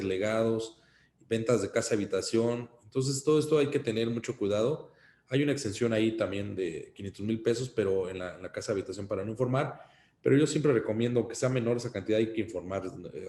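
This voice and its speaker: A man with a Mexican accent giving an informal instructional talk as an accountant, explaining things in a cautionary, halting way. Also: lowish voice, darkish tone, slight background noise. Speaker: accountant giving tax advice. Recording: roomy, echoing sound.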